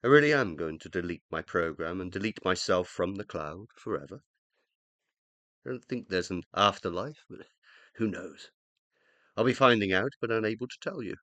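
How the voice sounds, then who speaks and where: An actor in a radio play voicing the uploaded mind of a dead scientist, self-reproaching and loving, with an emotional, hesitant, confessional delivery. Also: male voice, sits low, animated, normal speed, a bit bright, no echo. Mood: quiet, reflective.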